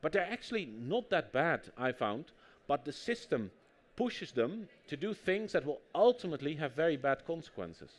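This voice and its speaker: Dutch-accented male guest author, somewhat high pitch, animated storyteller who acts out quotes, public talk setting. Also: normal speed, hardly any pauses. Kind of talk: conversational interview on stage.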